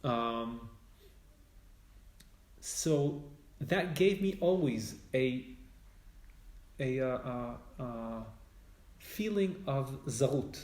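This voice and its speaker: A man with an Israeli accent, whose first language is Hebrew, speaks English slowly and haltingly, with a mid-range voice. He often breaks off and restarts as he searches for the right word. The sound has a noticeable room echo. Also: mid-range voice, expressive, a little mellow. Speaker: spiritual teacher and author. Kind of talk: reflective personal conversation.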